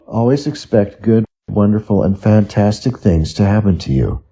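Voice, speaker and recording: A man's voice: on the low side, animated, normal speed, vocal fry, mellow, slightly rough. A man reading scripted self-help and study advice aloud as narration.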